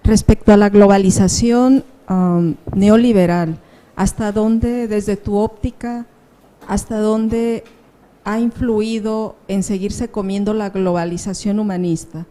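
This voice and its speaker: Audience woman, very slow and measured, warm mellow tone. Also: mid-range voice, fairly even intonation, rough recording. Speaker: audience member asking a question. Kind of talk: question from the floor.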